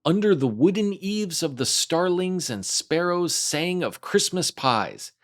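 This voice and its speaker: A man's voice: high voice, expressive, unhurried. A man reading a classic children's tale aloud as a scripted storytime narration. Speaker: storytime host and reader.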